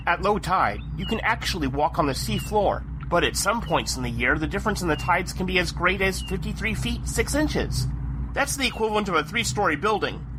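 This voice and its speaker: A woman playing a brisk, nerdy lab director who explains science in a scripted comedy skit. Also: lowish voice, background noise.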